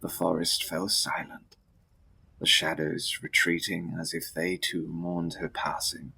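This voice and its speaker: A man with a deep, husky voice giving a dramatic scripted narration of a gothic story. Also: little pitch movement, unhurried, sharp tone, poor audio.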